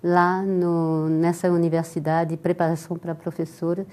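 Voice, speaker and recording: An older woman from France speaking with a French accent, calmly telling her life story as a guest in a TV interview. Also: on the low side, moderate pace. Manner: calm storytelling with soft laughs.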